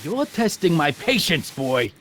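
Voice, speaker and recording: A man's voice: fairly high pitch, sing-song, measured pace, fairly bright tone, a little hiss or hum. An actor playing a brash, bullying American gangster boss in a video game, with sarcastic, domineering tough-guy talk and old-time slang. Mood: bossy, impatient.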